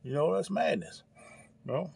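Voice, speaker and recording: Gravelly, husky American man. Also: on the high side, expressive, moderate pace, a little mellow. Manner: informal, preachy, peppered with "you know" and "hey". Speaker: commentator reacting to a news clip. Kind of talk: casual off-the-cuff religious commentary.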